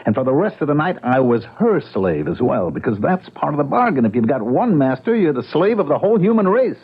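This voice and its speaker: An actor playing an anguished, submissive man enslaved to a cruel friend, husky and halting in old-time radio drama style. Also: on the high side, sing-song, full character voice. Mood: anguished confessing. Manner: halting, confessional, pleading. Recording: dull, lo-fi radio drama recording.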